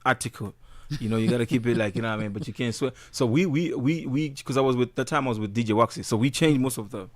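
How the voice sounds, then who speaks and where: A man's voice: mid-range voice, fast talker, hardly any pauses. A man talking casually in an interview as a musician, laid-back, full of slang and fillers. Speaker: guest musician.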